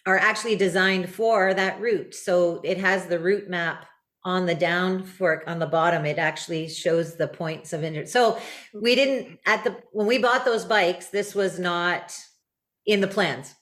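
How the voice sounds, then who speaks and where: A woman guest in an interview, talking relaxed with many fillers. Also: lowish voice, measured pace. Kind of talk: casual interview talk.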